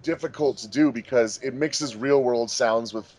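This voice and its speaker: A man chatting casually as a director on a commentary track, explaining things with frequent pauses. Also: mid-range voice, darkish tone. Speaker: fandub director and voice actor on commentary.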